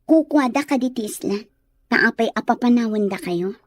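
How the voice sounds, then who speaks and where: A woman's voice: on the high side, moderate pace, no room sound, close to an everyday voice. A woman playing an inquisitive, sympathetic lady with a questioning manner, in a Filipino radio drama. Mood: concerned.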